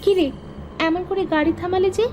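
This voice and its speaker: Woman acting high-pitched bossy young woman. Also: fairly even intonation, normal speed, a little mellow, a little hiss or hum. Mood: sceptical. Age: young adult. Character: young woman, bossy, teasing childhood friend. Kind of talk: Bengali animated story dialogue.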